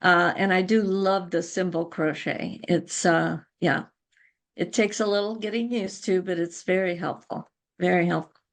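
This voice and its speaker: An older woman speaks as an interview guest, casually sharing recollections. Her voice sits fairly low for a woman and carries a pronounced gravelly fry. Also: fairly even intonation, moderate pace. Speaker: interview guest, crochet guild founder.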